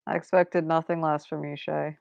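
A woman's voice: low-pitched, flat delivery, moderate pace, creaky, darkish tone, clean tone, dry recording. A woman casually moderating a discussion, phrasing her questions hesitantly, heard on a dull video-call line. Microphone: video call, dry sound.

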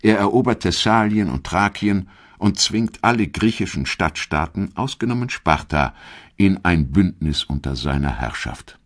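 A man reading scripted history documentary narration in a measured, clearly articulated storytelling manner. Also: deep voice.

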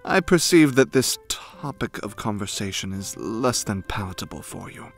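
A man playing a frightening, coldly devoted young noble retainer in an ASMR fan roleplay, speaking formally with measured, haughty menace. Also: lowish voice, animated, soft background music.

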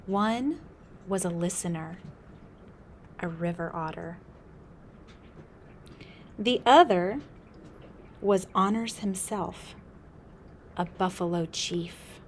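A woman in her thirties reads a picture book aloud, slow and expressive, sometimes dropping to a whisper, indoors on a close camera mic. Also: lowish voice, slight background noise. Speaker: storyteller. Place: indoor room with a window.

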